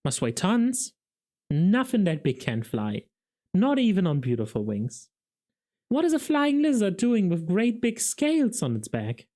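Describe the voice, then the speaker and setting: A man's voice: sits high, huge pitch swings, normal speed, mellow, voice pushed up, exaggerated voice. A man voicing a jeering chorus of cynical little brain cells, scoffing and sarcastic.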